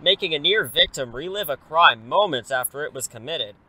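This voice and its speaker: A man's voice: fairly high pitch, lively intonation, fairly quick, pushed and bright, heavily acted, poor audio. A man playing a wordy superhero in his thirties who is secretly an English teacher, theatrical but easily flustered, in a comedic audio drama. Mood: bluffing, insistent. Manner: glib, wordy, awkward when cornered.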